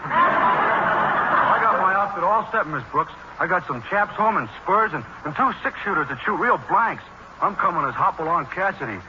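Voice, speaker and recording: An actor playing a dim, earnest high school athlete in a 1940s radio sitcom. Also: male voice, animated, sharp tone, heavily acted. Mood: guileless, cheerful. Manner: slow-witted, guileless, cheerful. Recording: muffled, very noisy old radio recording.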